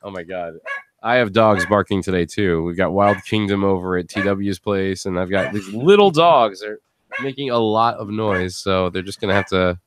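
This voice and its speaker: A man's voice: deep voice, normal speed, noisy background. A man hosting a casual panel chat, telling rambling, jokey stories. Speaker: podcast host.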